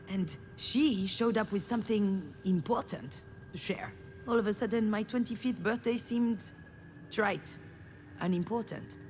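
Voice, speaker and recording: A woman's voice: mid-range voice, a little hiss or hum. An actress playing a frustrated, self-deprecating woman who narrates her family wounds in a confiding, bitter game monologue. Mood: self-reproachful. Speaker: visual novel protagonist. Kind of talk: dramatic first-person game narration.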